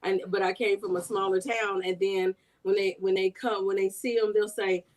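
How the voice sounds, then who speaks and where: A woman's voice: mid-range voice, flat delivery, normal speed, darkish tone, rough recording. A woman hosting an interview who talks in a chatty, affirming way and laughs easily. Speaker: host. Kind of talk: casual conversation.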